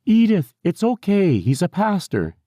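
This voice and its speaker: Female nursing-home aide, read by a male narrator: brisk, reassuring, lively and pitched up. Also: dry recording, studio quality, exaggerated voice. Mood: firm, reassuring.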